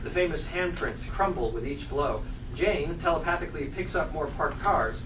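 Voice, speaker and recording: A man with a fairly high voice narrates a screenplay at a table read, reading out the stage directions. He is picked up from a distance in an echoey room. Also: moderate pace, background noise.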